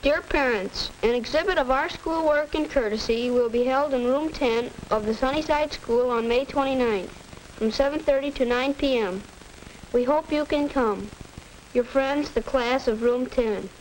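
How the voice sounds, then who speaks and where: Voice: sits high, normal speed, close to an everyday voice. A young performer playing a polite, earnest American schoolboy in a 1940s instructional film, speaking with careful, rehearsed diction. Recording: noisy 1940s educational film soundtrack.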